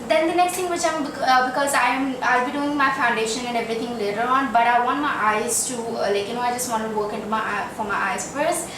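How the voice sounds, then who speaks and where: A woman's voice: on the high side, steady tone, a little fast, hardly any pauses. A young woman from India with an Indian English accent touched by Trinidad, chatting through a makeup tutorial in a small bedroom, pleading and full of fillers. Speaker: beauty vlogger. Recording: roomy, distant mic with background noise.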